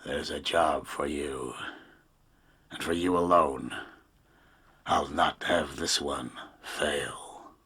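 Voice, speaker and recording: An actor playing a hard, cold, commanding gang boss in a radio drama, speaking deliberately. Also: male voice, a bass voice, fairly even intonation, sharp tone, slightly rough, heavily acted. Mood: stern, commanding.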